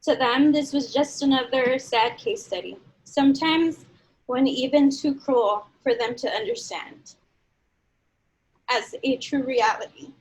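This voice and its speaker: Young woman, high voice, earnest motivational speech, slightly dry throat. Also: measured pace, rough recording. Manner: earnest, motivational, leads audience in call-and-response. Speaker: speaker at a community event. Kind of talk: personal speech to an audience.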